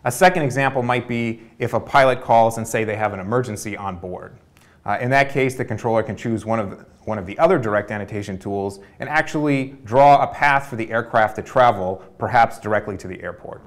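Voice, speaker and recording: Young male presenter, lowish pitch, clean structured demo read. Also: brisk. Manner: fluent, orderly walkthrough. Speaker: researcher demonstrating a prototype. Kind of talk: product demo narration. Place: dark studio with display screens.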